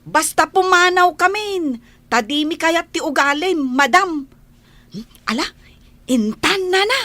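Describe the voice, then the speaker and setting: A woman's voice: very high voice, lively intonation, a little slow, sharp tone, no echo, heavily acted. A woman playing an earnest, plain-spoken young housemaid in a Filipino radio drama. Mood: fed up, defiant. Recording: music bed under the dialogue.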